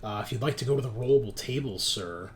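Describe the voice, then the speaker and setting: Male game master, mid-pitched, casual refereeing of a tabletop session with music underneath. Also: fast.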